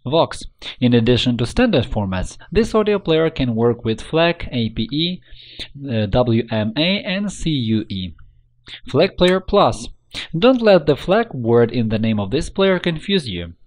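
A man reading a scripted software tutorial in an instructional, step-by-step manner. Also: medium pitch, sing-song, unhurried.

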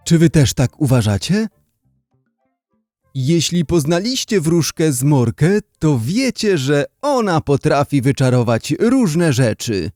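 A man's voice: fairly high pitch, big pitch swings, takes it slowly, warm tone, dry recording, studio quality, faint music behind. A man narrating a scripted story for children, as a storyteller.

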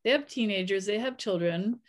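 A woman in her forties speaking on a video call as a panelist author, thoughtful and halting with many fillers. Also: medium pitch. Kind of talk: casual panel discussion. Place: home room, video call. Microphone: webcam on video call.

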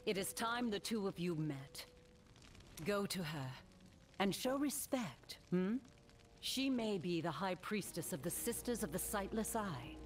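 A woman's voice: mid-range voice, close to an everyday voice. A woman playing a proud, commanding rogue captain in a video game. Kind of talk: video game character acting.

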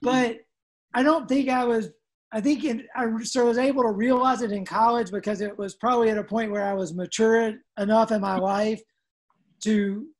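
A woman's voice: a little high, steady tone, normal speed. A woman from rural South Georgia reflecting on personal experience as a participant in a group discussion on a video call.

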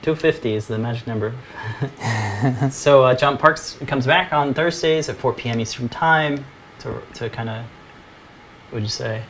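A man co-hosting a casual live stream from a home workshop, slightly hoarse and rambling with easy laughs. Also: mid-range voice, normal speed, long pauses, a little hiss or hum. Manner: relaxed, rambling, laughs often. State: losing his voice. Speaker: co-host.

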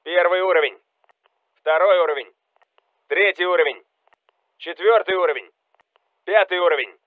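An actor playing a Russian special forces soldier calling out commanding military game lines over a radio. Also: male voice, sits high, normal speed, no echo, phone-quality sound. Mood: calm, businesslike. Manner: urgent, commanding.

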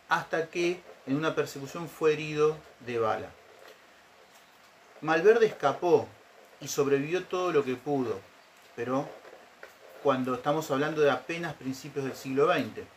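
A man's voice: medium pitch, slight background noise. A middle-aged man telling a folk legend to camera from a living room sofa. He explains step by step and keeps checking in with his listeners. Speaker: storytelling show host. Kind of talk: casual storytelling to camera.

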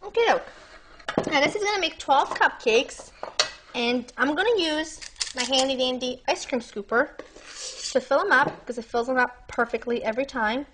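A young woman hosting a cooking demonstration in her home kitchen, chatting brightly and speaking straight to her viewers. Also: sits high, normal speed, a little hiss or hum, rough recording. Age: young adult. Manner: bubbly, chatty, addresses viewers directly. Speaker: cooking show host. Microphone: lapel mic.

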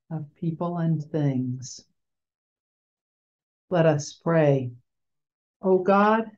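A woman chaplain in her fifties speaks slowly and gently, as if to children, with a slightly low voice. She is in a small office, and the webcam sound is a little dull and lo-fi. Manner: gentle, playful, slow and clear like talking to children. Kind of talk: children's chapel talk with object lesson. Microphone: webcam video call.

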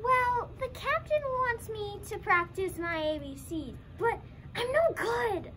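An earnest young pirate played by a teenage girl in a puppet show, very high-voiced and very slow. The character struggles along sincerely, over a faintly noisy home recording. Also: lively intonation, bright, forceful tone, exaggerated voice. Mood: frustrated, earnest. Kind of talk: school puppet show skit.

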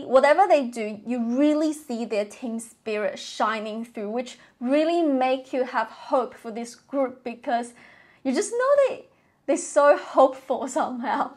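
A young woman giving casual, enthusiastic and expressive reaction commentary to camera, recorded indoors on a clip-on mic. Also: a little high. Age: young adult. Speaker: reaction vlogger. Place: bedroom, indoors. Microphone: clip-on lapel mic.

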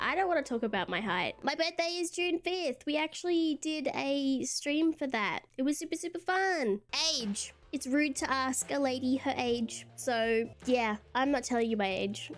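A young woman with an Australian accent, chatting bubbly and giggly through her own self-introduction slideshow as a streamer. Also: high-pitched. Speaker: VTuber streamer. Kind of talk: casual self-introduction presentation.

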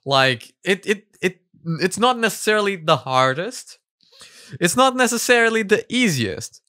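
Young guy doing reaction video commentary, bright voice, chatty and halting, close mic. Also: high voice, no room sound. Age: 20s. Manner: halting, animated, laughs easily. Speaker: host of a reaction video series. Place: small room at home, indoors. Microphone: close boom-arm studio mic.

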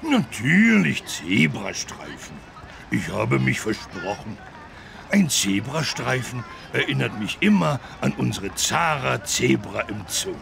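A man voicing a big, good-natured, childlike talking elephant with a gravelly voice in a children's learning game. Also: mid-range voice, big pitch swings, full character voice. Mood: wondering, friendly. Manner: slow, wondering, naive.

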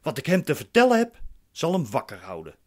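Radio play defendant: impatient, commanding, questioning, widely swinging intonation. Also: male voice, fairly high pitch, huge pitch swings, a little fast, darkish tone. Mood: suspicious, impatient. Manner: curt, questioning. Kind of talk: radio drama acting.

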